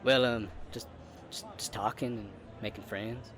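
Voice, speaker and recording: Man acting a low-voiced, smarmy Southern flirt. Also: fairly even intonation, unhurried, background noise, natural voice. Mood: nervous, backpedaling. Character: smarmy, cowardly male flirt at a Western fair. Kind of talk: Western romance audio roleplay.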